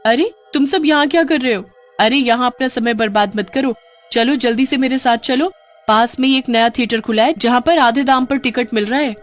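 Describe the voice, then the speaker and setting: Woman as an urgent, high-voiced young girl. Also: normal speed, natural voice. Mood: urging. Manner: urgent, exclaiming. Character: resourceful caring young girl, bossy friend. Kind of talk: Hindi cartoon voice acting. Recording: music under the voice.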